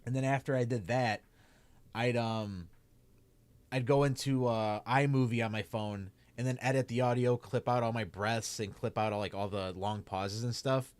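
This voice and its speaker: A man's voice: medium pitch, fairly even intonation, moderate pace, no room sound. A young man with a Chicago accent chatting casually to his stream while gaming, rambling with lots of "like" and "man". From Chicago area. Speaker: streamer and YouTuber.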